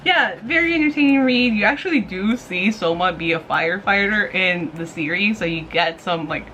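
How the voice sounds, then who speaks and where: Female video host, medium pitch, chatty and slangy fan-style gushing, recorded with slight room noise. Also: normal speed, sharp tone. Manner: gushing, giggly fan talk with slangy asides. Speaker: YouTube host giving manga recommendations. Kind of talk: casual, chatty review to camera.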